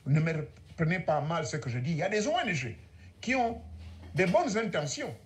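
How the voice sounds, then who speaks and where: A man's voice: fairly high pitch, expressive, moderate pace. A man whose first language is Ngomienne, giving a sweeping, oratorical speech at a conference. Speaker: conference speaker.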